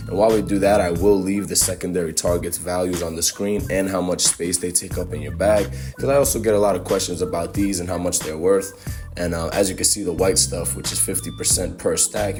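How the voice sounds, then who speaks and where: A young man with a slightly hoarse voice from a cold, giving a laid-back, chatty game walkthrough full of fillers. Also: sits low. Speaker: gaming guide creator. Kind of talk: casual game walkthrough commentary.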